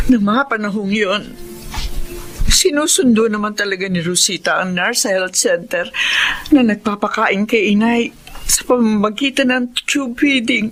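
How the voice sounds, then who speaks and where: An actress playing a guilt-ridden eldest sister in a Filipino radio drama, confessing with measured emotion and a Filipino accent. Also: female voice, medium pitch, fairly bright tone, a little hiss or hum, natural voice.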